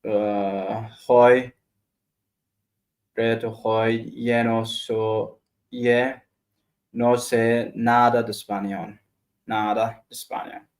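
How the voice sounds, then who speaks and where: A man's voice: lowish voice, flat delivery, very slow, long pauses, a little mellow. A man whose first language is Arabic, practicing beginner phrases aloud, hesitating and repeating words over and over. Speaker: language learner streamer. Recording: echoey room.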